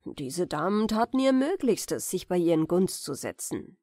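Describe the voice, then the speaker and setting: A woman narrator giving a measured, careful, expressive reading of a fairy tale as a bedtime audiobook. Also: on the low side, no room sound, studio quality.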